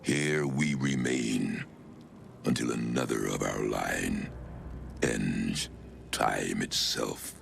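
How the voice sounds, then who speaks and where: An actor playing a grim, murderous undead cult elder in a fantasy game, with solemn, archaic pronouncements. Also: male voice, extremely slow, fairly bright tone, slight background noise, heavily acted. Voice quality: very deep, gravelly. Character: dread undead male cultist elder, grim, murderous zealot. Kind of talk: dramatic fantasy game acting. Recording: music behind the voice.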